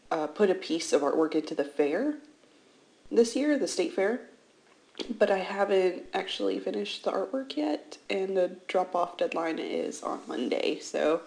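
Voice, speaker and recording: Female art vlogger, lowish slightly scratchy voice, casual rambling voice-over, self-deprecating. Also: creaky, darkish tone. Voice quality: slightly scratchy early-morning voice. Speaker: art channel host. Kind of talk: casual chatty voice-over commentary on a drawing. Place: indoors, seated at a computer.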